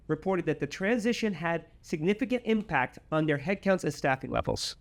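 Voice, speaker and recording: Male corporate co-presenter, moderately high pitch, formal scripted delivery for a company announcement.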